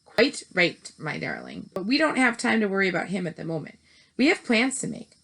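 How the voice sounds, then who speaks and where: A woman's voice: medium pitch, wildly swooping pitch, fairly quick, vocal fry, a bit bright, exaggerated voice. A woman voicing a loving, commanding older grandmother in a storybook read-aloud, speaking emphatically. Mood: urging.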